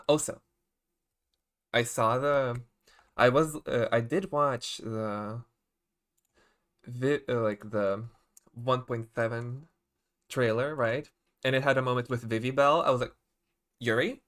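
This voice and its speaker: A man giving casual livestream reaction commentary as a game streamer, expressive and exclamatory, often halting mid-thought. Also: medium pitch. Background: game trailer music.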